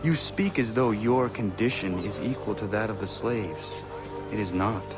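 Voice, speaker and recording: An actor playing an earnest, stern young Native warrior in a video game, speaking in a formal, measured way. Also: male voice, fairly low pitch, over music, natural voice. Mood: firm, challenging. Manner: formal, measured, solemn speech. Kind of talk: dramatic video game acting.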